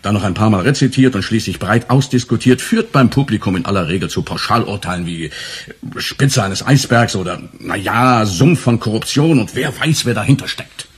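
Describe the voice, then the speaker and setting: A cool pedantic police boss in a radio play, low and really husky, talks in long official sentences. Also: male voice, lively intonation. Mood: formal, insinuating. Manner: measured, bureaucratic, long formal sentences. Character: stern, formal senior police superior, male, pedantic and cool. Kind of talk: German radio crime drama acting.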